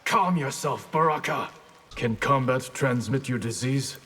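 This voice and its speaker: An actor playing a composed, inquisitive martial artist in a fighting game, speaking formally. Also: male voice, mid-range voice, measured pace, a bit bright. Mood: calm, probing. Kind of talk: fighting game character dialogue. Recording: long reverb, clear background noise.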